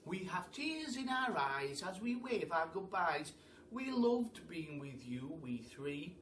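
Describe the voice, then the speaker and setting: A man's voice: high voice, very animated, takes it slowly, fairly bright tone, a little hiss or hum, pitched above the performer's own voice, exaggerated voice. Man as a sentimental, lilting storybook monkey. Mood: wistful, tender. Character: tearful, sentimental little male monkey singing farewell. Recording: roomy echo, distant mic.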